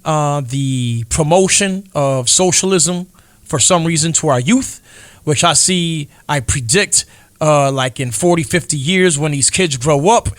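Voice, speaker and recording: A man co-hosting a faith podcast, explaining in a measured way with firm opinions. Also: on the high side, fairly bright tone. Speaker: podcast co-host.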